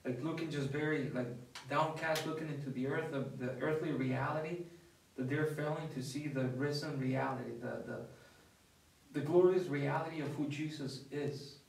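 A young man, a priest, talking casually about scripture at a table, with many restarts and an animated way of telling stories. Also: on the high side, normal speed, creaky. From not from the US. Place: dining room at a table with others. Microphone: far camera. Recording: echoey room from far off.

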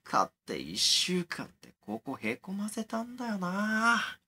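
A man as slow, casual young guy, very animated. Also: high-pitched, breathy edge, heavily acted. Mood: musing. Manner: colloquial, blunt, muttering to himself. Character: easygoing young man, new in the city, talking casually. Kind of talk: naturalistic audio drama dialogue.

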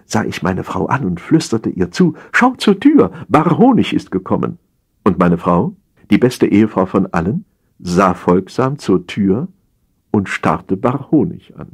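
A man's voice: low voice, expressive, unhurried, slightly rough. A man narrating a satirical story as a dramatized audiobook reading.

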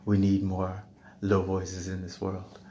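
A man with a low voice casually introducing his song, talking softly with a slightly mumbly, halting delivery and fillers. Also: monotone, a little hiss or hum.

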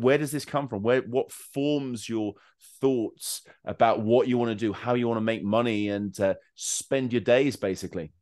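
A man's voice: on the low side, a little mellow. A man hosting a podcast interview over a video call, asking questions with many restarts and fillers. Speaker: podcast host. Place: home office. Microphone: video call with headset.

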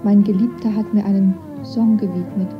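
A woman's voice: mid-range voice, fairly even intonation, moderate pace, lightly acted. An actress playing a young married woman in a satirical radio play, confessing a romance in a matter-of-fact way. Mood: confessional. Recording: dull old tape, music behind.

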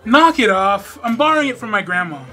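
A grumbling teen phone addict. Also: male voice, sits high, animated, fairly quick, pushed and bright. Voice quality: grumbling, defensive. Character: phone-loving male high school student. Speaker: student. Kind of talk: scripted comedy skit acting. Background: music bed.

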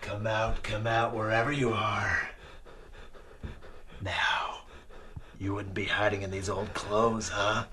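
A man playing a possessed, menacing hunter in a horror audio drama, taunting in a drawn-out delivery. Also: lowish voice, level tone, sharp tone, a little hiss or hum. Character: possessed, menacing hunter stalking his hiding friend. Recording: distant, echoey room sound.

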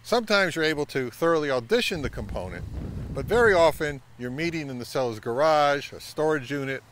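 Middle-aged man explaining with lively pitch swings. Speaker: vintage audio channel host. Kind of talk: instructional vlog to camera. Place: outdoors by a pond and on a wooded trail.

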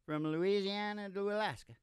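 A man's voice: high-pitched, steady tone, moderate pace, clean tone, no echo, clean studio sound. An older man hosting in casual, joking, folksy banter that rambles along at a stand microphone. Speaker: podcast host and musician. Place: indoor small curtained stage studio.

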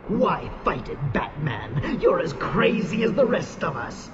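A cackling mad clown. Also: male voice, sits high, very animated, a little slow, dull audio, noisy background. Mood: gleeful mocking. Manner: mocking, sing-song, gleeful. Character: cackling deranged male clown villain. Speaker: video game villain. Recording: voice over loud game score.

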